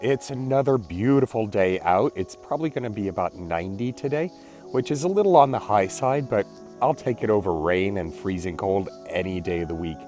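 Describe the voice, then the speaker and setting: A man, congested and clearing his throat, chatting casually in a daily vlog and apologizing often. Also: lowish voice, lively intonation, fairly quick, warm tone, soft background music. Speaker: vlogger.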